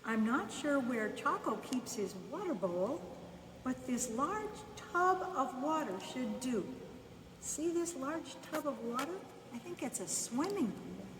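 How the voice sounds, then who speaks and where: A woman reads a children's story at a slow pace, in short, separated phrases. Her voice is fairly high and comes from a distance in a reverberant room. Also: very animated. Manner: unhurried, with pauses between short phrases. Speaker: volunteer storyteller reading a children's book. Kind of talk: bedtime story read aloud, first-person narration. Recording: echoey reverberant room, distant mic, faint noise.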